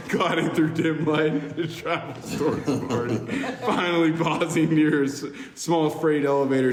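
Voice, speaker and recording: A man reading screenplay directions aloud in plain, amateur narration at a podcast table read. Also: medium pitch. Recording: echoey room, long reverb, background noise.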